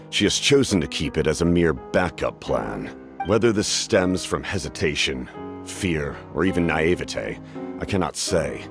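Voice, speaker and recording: An actor playing a grave, calculating military captain in a video game, speaking in a formal, measured and courteous way to persuade. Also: male voice, rumbling low, full character voice. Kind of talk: English game voice acting.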